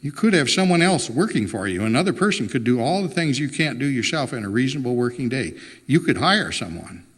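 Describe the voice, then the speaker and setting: A man's voice: mid-range voice, sing-song, brisk, few pauses, husky. Man reading as an insistent woman pastor, echoing hall. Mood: firm, insistent. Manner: firm, insistent. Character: earnest, insistent woman pastor pressing her partner. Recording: reverberant hall with long echo.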